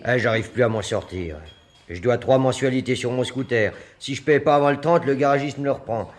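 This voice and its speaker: A male actor plays a young working-class butcher's boy in a radio drama, weighed down by money worries. His voice is medium in pitch, with a plaintive, colloquial delivery. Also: a little fast, natural voice. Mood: worried. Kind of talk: French radio drama acting.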